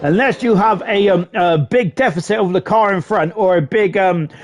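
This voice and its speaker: A man giving live sim racing commentary in a chatty, excitable way, often ending sentences with tag questions. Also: sits high, moderate pace, muffled recording. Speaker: esports race commentator.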